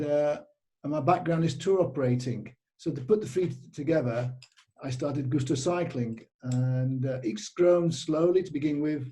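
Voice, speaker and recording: A middle-aged man with a British accent talks casually about his business. His voice sits a little high but sounds dark and mellow. He rambles along with plenty of ums and restarts. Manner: rambling, frequent fillers and restarts. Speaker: cycling tour operator. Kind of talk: casual interview.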